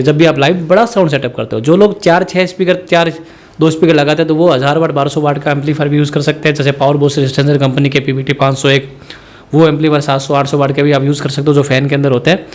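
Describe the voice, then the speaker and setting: Man, brisk tutorial voice, raised pitch, rambling explainer. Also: a little mellow. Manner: rambling, explanatory, addresses viewers as friends. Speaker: musician teaching sound setup. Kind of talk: spoken tutorial.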